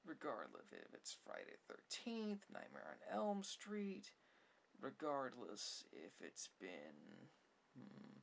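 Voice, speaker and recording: Soft-spoken ASMR host with plenty of fry, rambling and opinionated about films, slightly noisy room recording. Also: mid-range voice. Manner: rambling, opinionated, mildly sarcastic. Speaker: ASMR video creator. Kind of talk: ASMR rambling film commentary.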